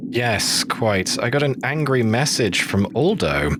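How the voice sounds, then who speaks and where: A man's voice: mid-range voice, very animated, unhurried, noisy background. A man playing a tabletop game, talking casually in character, with music underneath.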